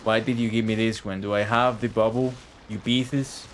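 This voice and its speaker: A man's voice: on the low side, level tone, moderate pace, slight background noise. A man giving casual live-stream game commentary in slangy, chatty language with plenty of swearing. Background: game music.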